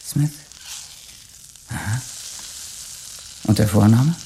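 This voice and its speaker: Cocky drifter over sizzling noise. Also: male voice, on the low side, extremely slow, warm tone. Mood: flirting, sly. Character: brash drifter mechanic, cocky, smooth-talking flirt. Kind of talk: radio drama acting.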